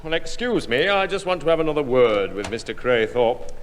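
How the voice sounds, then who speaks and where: A man's voice: mid-range voice, very animated, close to an everyday voice. A man playing a dogged, courteous British police sergeant, formal and firm, in 1960 radio sitcom style. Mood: sceptical, stern. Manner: formal, measured, deferential but firm. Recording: noisy old radio recording, hollow reverb.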